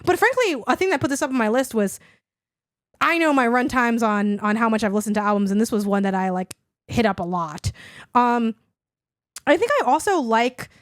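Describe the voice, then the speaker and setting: A hoarse young woman co-hosting a podcast, chatting casually and rambling with lots of "like". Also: a little high, expressive, normal speed, no room sound, studio quality. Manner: rambling, heavy use of "like". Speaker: podcast co-host. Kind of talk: casual chat.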